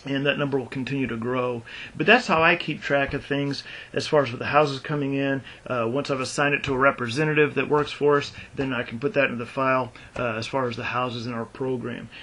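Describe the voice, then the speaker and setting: A man giving an informal explanatory video talk as an instructor, halting and often correcting himself as he goes. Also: medium pitch, sharp tone. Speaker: instructor and business owner.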